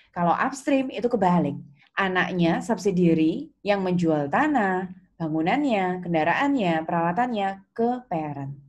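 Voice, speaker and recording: Female Indonesian lecturer, pitch a touch low, conversational explanatory delivery, often turning to the students with questions. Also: a little mellow. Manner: conversational, checks understanding with questions. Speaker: accounting lecturer.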